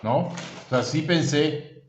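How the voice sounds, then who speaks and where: A man's voice: fairly high pitch, takes it slowly, a little hiss or hum. A man from Veracruz with a Mexican accent chatting casually with an old friend, rambling and often searching for his words. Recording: roomy echo, dull lo-fi sound.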